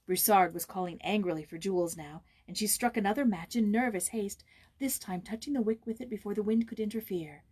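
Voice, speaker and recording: A woman narrating a storybook in a theatrical, expressive way, with a husky voice. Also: mid-range voice, fairly quick. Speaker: audiobook reader, voice actor. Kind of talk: dramatic scripted storybook narration.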